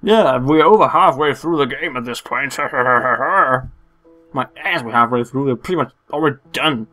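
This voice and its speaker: A man's voice: mid-range voice, measured pace, pushed and bright, soft background music. A man hosting a let's play, giving joking, sarcastic and irreverent commentary on a video game.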